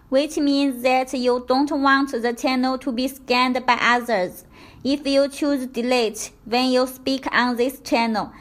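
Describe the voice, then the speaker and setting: A woman presenting a product tutorial, reading scripted instructions in a careful, deliberate step-by-step way. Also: high voice, a bit bright.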